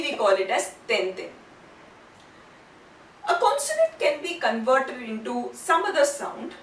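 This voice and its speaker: A woman teaching a language lesson step by step, speaking deliberately and repeating syllables and terms for learners. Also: sits high. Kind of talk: instructional lesson, step-by-step explanation. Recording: roomy, distant mic, faint background noise.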